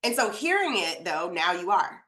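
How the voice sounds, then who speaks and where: Supportive woman co-host, lower voice. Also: big pitch swings, normal speed, sharp tone. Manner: supportive, affirming, explains with many likes and you knows. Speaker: podcast co-host. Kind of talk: casual conversation. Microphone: video call.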